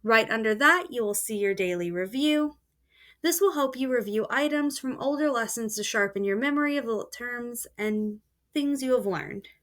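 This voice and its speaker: A woman with a fairly high voice talks through a screen-recorded software tutorial. She explains each feature step by step in a steady, plain voice-over. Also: normal speed. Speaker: student presenter.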